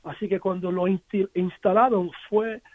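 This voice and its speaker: A man who is a musician, with an American English accent, answering interview questions in a halting way with many restarts and repeated words. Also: high-pitched, steady tone, no echo. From United States. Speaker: musician guest. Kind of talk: radio interview, casual recollection. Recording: dry, phone-like radio line.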